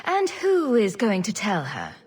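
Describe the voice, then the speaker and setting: An actress playing a confident, sardonic adventurer heroine in a video game, dry and flippant. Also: female voice, mid-range voice, wildly swooping pitch, measured pace, husky, full character voice. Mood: smug. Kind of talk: video game cutscene acting. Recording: music behind the voice.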